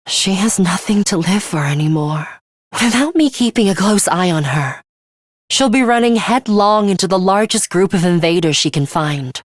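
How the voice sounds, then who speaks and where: A woman's voice: mid-range voice, no echo, studio quality. An actress playing a stern, composed young woman fighter in an English video game, blunt and guarded, with clipped, formal, matter-of-fact delivery.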